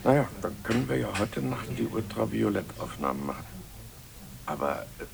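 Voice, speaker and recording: A man's voice: fairly low pitch, big pitch swings, normal speed, gravelly, exaggerated voice. An actor playing a genial, self-assured elderly scientist and father in a German radio drama, avuncular and hearty in manner. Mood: pleased, brisk. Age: older. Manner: avuncular, hearty, chuckling, relishing his words.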